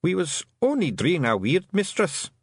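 A man's voice: sits high, big pitch swings, moderate pace, a bit bright, no room sound, studio quality, pitched above the performer's own voice, exaggerated voice. A man voicing a small, rowdy blue clan leader with a Scottish accent, exclamatory and anxiously deferential. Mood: nervous, deferential. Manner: exclamatory, pleading, dialect-heavy.

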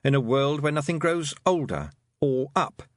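A man voicing a girl's calm inner voice that observes and gives advice. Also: a little high, normal speed, no echo, clean studio sound, voice pushed up, close to an everyday voice. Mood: advising. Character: calm, watchful inner voice of a girl.

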